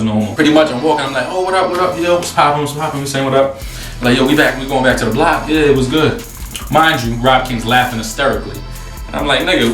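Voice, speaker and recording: A man in his twenties with a New York accent, telling a story casually to camera in a slangy, profane, animated way. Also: medium pitch. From New York City, USA. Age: 20s. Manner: slangy, profane, animated retelling with acted-out dialogue. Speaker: host. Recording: roomy echo, distant mic, faint music.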